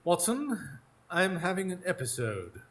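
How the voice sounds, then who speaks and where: A man's voice: high voice, very animated, measured pace, fairly bright tone, heavily acted. A man playing a sceptical, exasperated Victorian detective in a radio drama, speaking formally and dryly with probing questions. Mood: grave. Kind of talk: live radio drama acting.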